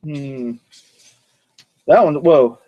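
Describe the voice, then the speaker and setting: A man hosts his grilling show outdoors, rambling and cracking jokes between tasks. His voice sits a little high. He chats to the camera while working over the grill. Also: drawn-out words, muffled recording. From North Georgia, USA. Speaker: cooking show host. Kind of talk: casual cooking vlog.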